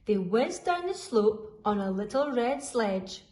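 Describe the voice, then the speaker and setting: A woman reading a children's story aloud expressively, deliberately drawing out the dramatic sound words. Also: fairly high pitch, animated, a little mellow. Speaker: school storyteller.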